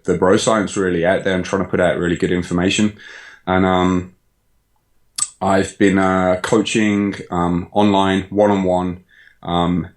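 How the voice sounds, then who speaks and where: A man with a Birmingham accent talks in a low, easygoing voice, full of ums and little laughs. He speaks into a desk microphone with a pop filter on a remote call. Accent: Birmingham English. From England. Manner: relaxed, rambling, many ums, laughs easily. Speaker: guest, online fitness coach. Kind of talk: casual interview chat. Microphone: USB desk mic with pop filter.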